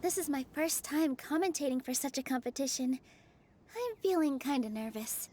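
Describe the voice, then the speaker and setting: A woman playing a sweet, modest young woman in an animated video game, speaking softly and politely. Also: high voice, moderate pace, slight background noise. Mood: shy, glad.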